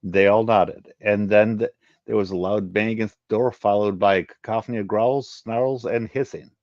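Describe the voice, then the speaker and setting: Low-voiced man reading, roomy muffled sound. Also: fairly even intonation, a little mellow. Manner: halting reading with frequent stumbles and repeats. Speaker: reader of a web story, channel host. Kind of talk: read-aloud narration of a sci-fi adventure story.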